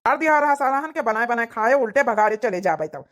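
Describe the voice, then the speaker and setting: A woman's voice: fairly high pitch, expressive, brisk, fairly bright tone. A woman voicing a fed-up village husband in an Awadhi comedy cartoon, complaining and scolding like a long-suffering spouse. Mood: nagging. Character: fed-up working village husband nagging his wife.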